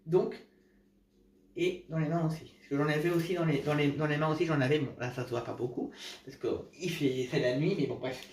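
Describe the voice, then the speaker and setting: A man's voice: a little high, steady tone, a little mellow, slight background noise. A man with a cold, chatting casually to camera at home at night, hesitant, often restarting and correcting himself. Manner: halting, many restarts and self-corrections. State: congested with a cold. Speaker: vlogger giving home remedy tips. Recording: roomy, from a distance.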